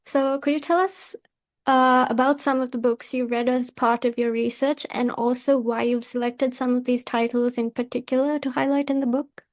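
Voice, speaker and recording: A woman hosting a podcast, reading out prepared interview questions in a measured, formal, carefully worded manner. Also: high-pitched, steady tone, dry recording. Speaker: podcast host, magazine editor.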